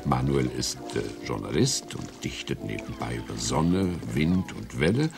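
A man narrating a radio play in a wry, measured storytelling manner. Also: rumbling low, animated, vocal fry, over music.

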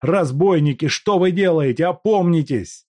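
An outraged man shouts his protests in a raised, pleading voice, as a male reader acts him out. The recording is close and dry. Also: a little high, moderate pace, no echo, pitched above the performer's own voice, natural voice. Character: indignant male clerk, outraged and desperate.

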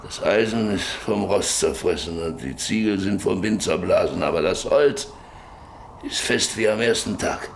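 An actor playing a sick, stubborn, lonely old rancher in a German radio play, halting and wistful, lost in memories. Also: male voice, deep voice, vocal fry. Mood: wistful, haunted. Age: older. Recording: noisy radio play with ambient sound.

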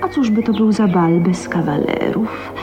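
An actress as a coquettish, slow-spoken noble lady. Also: mid-range voice, noisy background, natural voice. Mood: playful. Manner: coquettish, breezily formal. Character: teasing, gracious, aloof young lady of a dream castle. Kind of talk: Polish radio drama acting. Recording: dull lo-fi sound, music behind.

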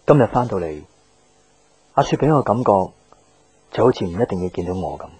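Radio drama lead: reserved young working man, Hong Kong accent, low soft-toned voice, subdued and quietly hurt. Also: lively intonation, fairly quick, breathy edge, no room sound. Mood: wistful narration. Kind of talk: Cantonese radio drama acting with inner monologue.

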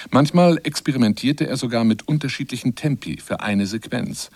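A man reading a scripted radio essay in a measured, articulate and explanatory manner. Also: on the low side, no echo. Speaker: radio feature narrator. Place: radio studio.

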